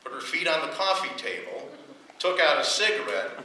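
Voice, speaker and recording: A man's voice: a little high, very animated, moderate pace, bright, forceful tone, heavily acted. An American man playing a wry, put-upon husband in an old domestic radio comedy, speaking with dry sarcasm. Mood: dry narration. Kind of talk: 1940s radio comedy acting and first-person narration. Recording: echoey, distant old radio recording, faint noise.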